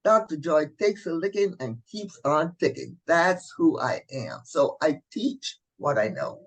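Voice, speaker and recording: A woman's voice: low-pitched, unhurried, husky. Chatty woman telling her story. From Barbados. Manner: lively, chatty, warm asides like "honey". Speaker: guest, transformative coach. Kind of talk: interview, personal story.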